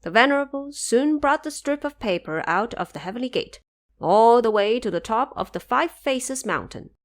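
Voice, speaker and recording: A woman's voice: fairly high pitch, expressive, moderate pace. A woman narrating a scripted audio drama in a storytelling style.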